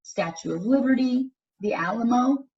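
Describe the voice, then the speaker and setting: Female teacher, children's read-aloud, animated intonation, deliberate instructional delivery, muffled lo-fi recording. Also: on the high side. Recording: dull, distant microphone pickup.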